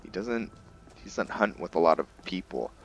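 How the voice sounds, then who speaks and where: A man's voice: deep voice, lively intonation, normal speed, a little hiss or hum. A man giving casual roleplay commentary on a game, musing aloud and hesitating as he thinks through his character. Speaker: gaming streamer and roleplayer.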